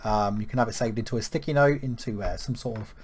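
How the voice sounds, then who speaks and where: A man from the UK talking through a software setup step by step, with lots of ums and small restarts. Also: on the low side, fast talker, slightly rough. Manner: relaxed, filler-heavy walkthrough with frequent ums. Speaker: web tutorial presenter. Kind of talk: screen-recorded software tutorial.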